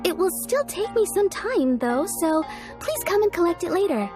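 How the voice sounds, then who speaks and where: A woman's voice: extremely high pitch, big pitch swings. An actress playing a courteous, cheerful and businesslike female shopkeeper in a video game. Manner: courteous, brisk customer service. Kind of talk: video game character acting. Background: music.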